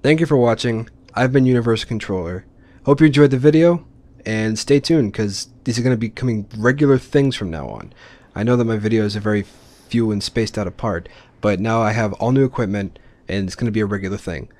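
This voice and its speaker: A man's voice: fairly low pitch, expressive, a little fast, darkish tone. A man giving casual, informal let's play commentary, explaining his choices as he plays. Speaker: gaming video host.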